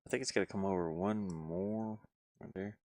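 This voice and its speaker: A man's voice: deep voice, fairly even intonation, moderate pace, darkish tone, dry recording, clean studio sound. A man streaming casually, rambling and muttering to himself as he thinks aloud while he works on screen. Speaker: game-streaming host and hobby game designer. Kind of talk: casual livestream chat while working on screen.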